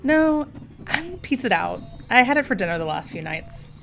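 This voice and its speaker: Woman playing an offhand scientist with creaky voice. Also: a little high, big pitch swings, normal speed, fairly bright tone, soft background music, exaggerated voice. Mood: casual. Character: casual, level-headed female earthquake monitoring scientist. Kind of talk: audio drama dialogue.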